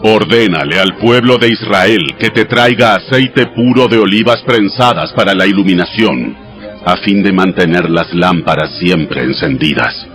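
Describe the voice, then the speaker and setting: A man voicing God in a dramatized audio Bible, giving sacred commands in a measured, solemn, instructive way. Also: sits low, fairly bright tone. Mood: solemn, commanding. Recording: studio voice over background music.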